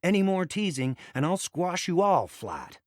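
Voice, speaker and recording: A man's voice: high-pitched, very animated, a little slow, a little mellow, dry recording, clean studio sound, pitched above the performer's own voice. A man voicing a sly, hot-tempered diesel locomotive in a children's storybook, with purring mock politeness that can turn to growls. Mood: angry, growling.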